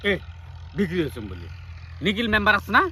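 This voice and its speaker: A man's voice: high-pitched, wildly swooping pitch, brisk, bright, forceful tone, a little hiss or hum, full character voice. A man playing a crafty older farmer in a village comedy skit, grumbling and arguing in a folksy rural North Bengal accent. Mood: defensive, persuading. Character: crafty, hard-working older village farmer, touchy about bribes.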